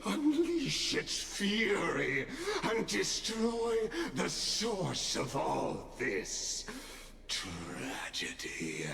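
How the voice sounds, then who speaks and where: An actor playing a grandiose, scheming male villain who speaks in slow, solemn proclamations for a game. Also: high voice, wildly swooping pitch, roomy sound, a crowd in the background, full character voice. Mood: proclaiming. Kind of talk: game voice acting.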